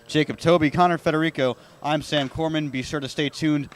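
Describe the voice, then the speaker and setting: Guy hosting baseball radio, pregame chat and play by play, slightly high voice. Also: steady tone, brisk. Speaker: sports broadcaster, pregame host and play-by-play announcer. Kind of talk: radio sports broadcast, hosting and commentary.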